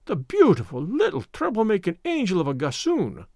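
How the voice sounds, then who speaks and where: A sentimental, quarrelsome Irish immigrant wife from a storybook, acted out by a male reader. The voice is high and swings widely, with a lilting, plaintive brogue. The sound is close and dry. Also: huge pitch swings, normal speed, dry recording, full character voice. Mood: hushed, pitying.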